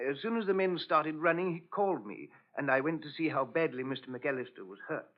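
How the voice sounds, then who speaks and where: An actor playing a polite, hesitant and servile butler in a 1940s radio drama. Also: male voice, a little high, expressive, brisk, lightly acted. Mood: earnest, deferential. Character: deferential, hesitant household butler. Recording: muffled old radio broadcast.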